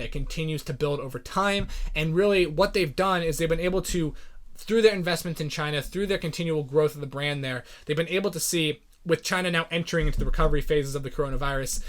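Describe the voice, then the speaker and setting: A man hosting a podcast, giving informal, explanatory commentary on business and finance. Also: fairly high pitch. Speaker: podcast host. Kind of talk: earnings call breakdown commentary.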